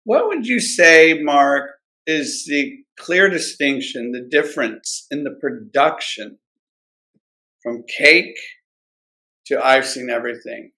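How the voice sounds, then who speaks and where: Slow, bright-voiced male podcast host. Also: mid-range voice, lively intonation, poor audio. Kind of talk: conversational interviewing.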